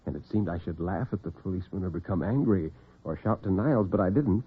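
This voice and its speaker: An American man telling dramatic tales as host and storyteller in classic radio style, theatrical and expressive. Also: low-pitched, a little fast, slightly rough. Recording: narrow-band vintage radio recording, muffled.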